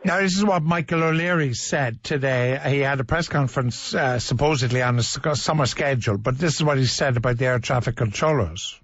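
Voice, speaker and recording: A man's voice: mid-range voice, brisk, few pauses, a bit bright. An Irish man hosting a current affairs debate on radio, challenging his guests. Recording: muffled radio broadcast audio.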